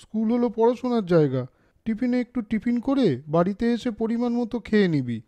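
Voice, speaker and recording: A man voicing a kind, earnest schoolboy with expressive delivery in a children's moral cartoon. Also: very high voice, a little fast. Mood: hesitant. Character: earnest, kind schoolboy, caring toward classmates.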